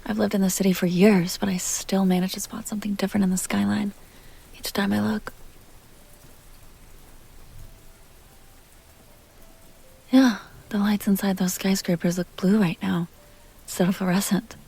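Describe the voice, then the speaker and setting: A woman's voice: medium pitch, little pitch movement, mellow. A woman playing an ageless vampire heiress, teasing, tender and wistful, in an intimate, half-whispered ASMR roleplay. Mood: musing, sultry. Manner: breathy, often whispering, unhurried, coaxing.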